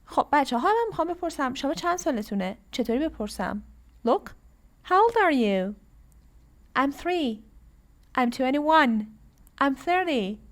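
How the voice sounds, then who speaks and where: A high-pitched woman with an Iranian accent speaks as a language teacher. She is instructive and repeats phrases with emphatic stress, in the manner of a lesson for beginners. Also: lively intonation, fast talker, long pauses. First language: Persian. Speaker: English teacher. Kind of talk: classroom lesson for beginners, switching between English and Persian.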